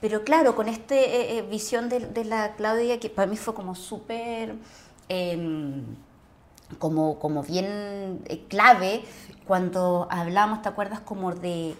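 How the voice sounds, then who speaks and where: A middle-aged Chilean woman, an actress, talking casually and effusively as an interview guest, often pausing to search for words. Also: mid-range voice. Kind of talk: casual conversation, reflecting on acting work.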